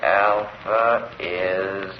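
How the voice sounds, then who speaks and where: An obedient robot servant from an old radio drama. The voice is deep and extremely slow, each stilted phrase halting like a machine's. The recording is muffled and old. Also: male voice, steady tone, a little hiss or hum. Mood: flat, obedient. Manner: stilted, mechanical, halting third-person speech. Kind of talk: vintage radio drama acting.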